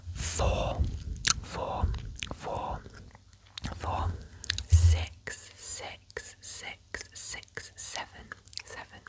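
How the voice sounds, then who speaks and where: A woman reads a fact book aloud in a close, breathy ASMR whisper. She lingers on repeated words and slips in chatty, mildly sarcastic comments. A stuffy, sniffly nose from a cold or hay fever comes through now and then. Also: low-quality recording. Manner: soft whisper, repeats words slowly for trigger effect; chatty asides, lightly sarcastic. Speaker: ASMR creator.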